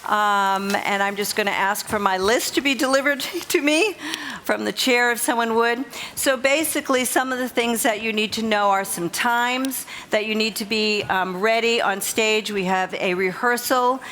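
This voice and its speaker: A woman's voice: on the high side, moderate pace, few pauses, fairly bright tone. A woman giving informal, encouraging instructions to a group as a competition official. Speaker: competition official addressing finalists. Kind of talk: spoken address to a group, informal. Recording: live hall with crowd noise.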